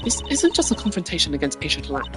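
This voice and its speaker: A woman voicing a sharp, haughty, formal young female prosecutor in a video game. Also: sits low, sing-song, fast talker, over music, pitched above the performer's own voice. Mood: impatient, stern.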